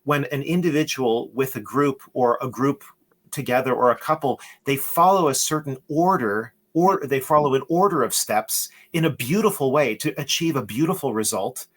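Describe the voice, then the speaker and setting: A man's voice: medium pitch, moderate pace, fairly bright tone. A man as an interview guest, explaining in an emphatic, lecturing way with rhetorical checks. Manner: emphatic, lecturing, asks "right?". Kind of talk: conversational interview.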